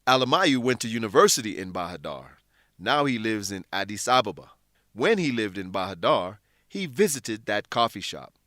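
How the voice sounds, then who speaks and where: A man narrating a scripted English lesson in a deliberate, instructional manner. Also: on the low side, sing-song, a bit bright, dry recording, clean studio sound.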